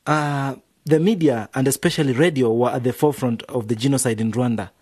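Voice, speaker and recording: An actor playing a cautious, level-headed music producer in a radio drama, speaking in a measured, slightly hesitant way. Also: male voice, medium pitch, a little mellow, husky, no room sound, studio quality, lightly acted. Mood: serious, cautioning.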